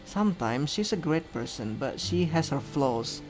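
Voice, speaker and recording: A man's voice: a little high, expressive, unhurried, soft background music, rough recording. Man reading as a blunt, bossy young woman. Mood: frank.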